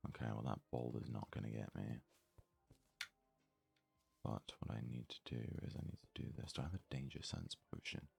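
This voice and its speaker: A man giving casual ASMR let's play commentary in a very soft, hushed, breathy voice, murmuring his thoughts aloud without hurry. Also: very deep voice. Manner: unhurried, murmured thinking aloud. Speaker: gaming video host.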